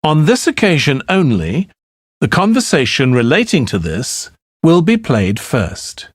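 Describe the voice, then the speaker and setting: A man reading scripted test instructions as an exam announcer, in a formal and deliberate manner. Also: a little high, huge pitch swings, slightly rough, dry recording, studio quality.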